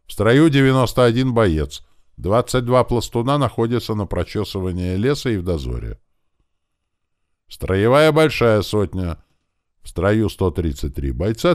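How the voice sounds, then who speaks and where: A man's voice: lowish voice, darkish tone, dry recording, clean studio sound, voice pushed up. A man voicing a military officer who reports formally in clipped phrases, in an audiobook story. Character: military deputy officer giving a crisp parade report.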